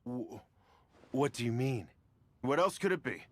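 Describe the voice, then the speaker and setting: A man voices a disgruntled bidder in a video game, his pitch jumping around a great deal. He blusters, grumbles and is easily flustered. Light noise sits behind the voice. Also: animated, a little slow, lightly acted. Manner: blustering, hesitant when challenged.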